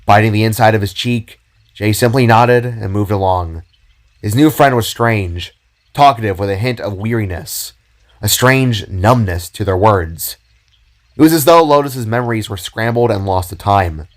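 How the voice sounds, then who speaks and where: A man's voice: on the low side, moderate pace. A man reading scripted fiction as the storyteller, narrating the scenes and characters. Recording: background music under the voice. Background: music.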